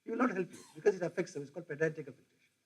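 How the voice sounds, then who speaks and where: A man's voice: fairly high pitch, racing, breathy edge. An older man with an Indian English accent giving a rambling lecture to teachers, often asking his audience questions. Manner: digressive, asks the audience questions. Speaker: professor. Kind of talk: lecture to faculty.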